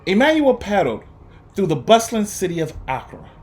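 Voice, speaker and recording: A man reading a children's story aloud to students, with deliberate, emphatic phrasing and an earnest, encouraging manner. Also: sits high, huge pitch swings. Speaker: guest reader for students.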